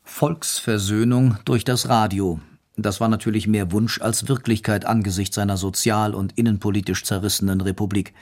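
A man's voice: on the low side, darkish tone. A man reading scripted narration for a radio documentary.

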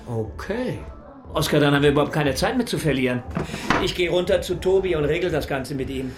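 Actor as a theatrical, gleeful club partner. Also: male voice, on the high side, expressive, a little fast, music in the background, lightly acted. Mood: brash, determined. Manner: mock-formal, theatrical. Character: gleeful, mock-pompous male club partner, brashly fearless.

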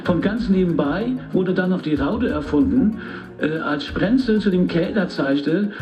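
An actor playing a chatty society insider who tells gossip anecdotes in interview style. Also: male voice, high voice, expressive, a little slow. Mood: amused, chatty. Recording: dull, roomy, music underneath.

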